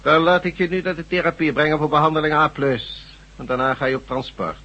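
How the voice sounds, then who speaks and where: An actor in a radio drama playing a cold, condescending, menacing regime doctor who speaks deliberately. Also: male voice, fairly high pitch, steady tone, sharp tone, dull audio.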